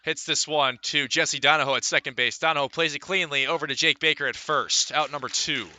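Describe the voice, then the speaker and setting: A male sports announcer gives live radio play-by-play of a baseball game. His voice sits a little high and has a very sharp, forceful edge. He paces out his calls in measured phrases, leaving pauses between plays. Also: steady tone. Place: live at a ballpark.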